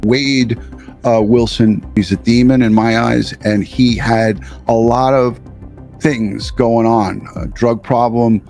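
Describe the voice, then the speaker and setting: A man's voice: medium pitch, fairly even intonation, takes it slowly, a little mellow, faint music behind. A man hosting a casual reaction show, amused and informal, often repeating himself as he comments. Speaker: show host.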